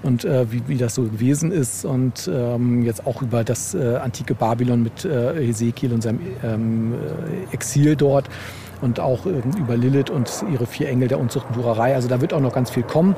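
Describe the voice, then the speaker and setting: A man's voice: mid-range voice, moderate pace, gravelly, background noise. A man speaking as an interview guest, a novelist chatting casually and enthusiastically in a rambling way. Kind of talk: casual chat. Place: outdoors on a beer bench.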